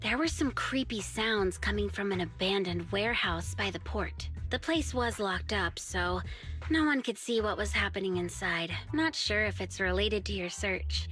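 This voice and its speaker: A woman's voice: medium pitch, a bit bright, close to an everyday voice. A woman playing a confident, teasing hacker who sells information and looks out for her friends, in a casual, breezy video game style. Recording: game music playing behind the voice.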